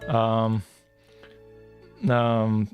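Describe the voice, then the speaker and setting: A man's voice: fairly low pitch, completely flat delivery, extremely slow, muffled recording, faint music behind. A man from Bulgaria with a Bulgarian accent, chatting casually and thinking aloud as he livestreams his coding. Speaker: live streamer, game developer.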